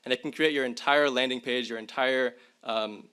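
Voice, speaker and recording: A man's voice: mid-range voice, steady tone, normal speed, sharp tone, smooth. A young man presenting at a symposium, casually chatting to the audience while demonstrating tools live. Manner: chatty, addresses audience directly. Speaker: tech presenter. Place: university lecture hall.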